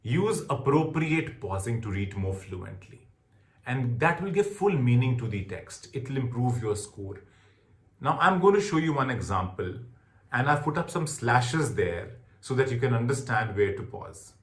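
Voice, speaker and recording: Animated Indian male trainer, echoey room. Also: mid-range voice, measured pace. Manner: emphatic, repeats key points. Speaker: test-preparation trainer. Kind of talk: instructional lecture on exam tips.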